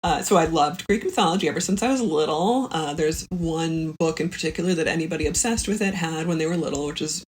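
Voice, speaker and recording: A woman guesting on a podcast, chatting casually in a halting, self-correcting way. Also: low-pitched, slight background noise, rough recording. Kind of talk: casual chat.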